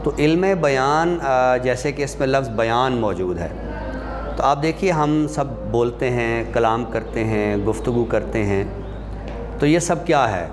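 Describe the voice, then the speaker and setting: A man giving an explanatory class lecture in a deliberate manner, pausing and posing questions that he then answers. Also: medium pitch, background noise. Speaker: lecturer teaching Urdu rhetoric.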